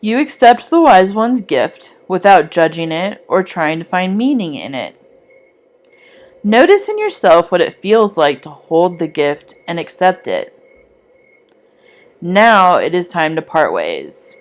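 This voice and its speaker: A woman leads a guided meditation at a very unhurried pace. Her voice sits somewhat low and moves widely in pitch as she gives gentle, deliberate instructions in a soothing manner. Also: very animated. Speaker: meditation guide, art teacher. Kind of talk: guided meditation narration, scripted.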